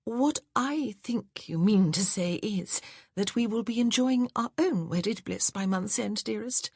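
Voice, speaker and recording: A narrator voicing a prim young fiancée who speaks softly and breathily. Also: female voice, mid-range voice, measured pace, creaky, slightly rough, dry recording, studio quality, pitched above the performer's own voice.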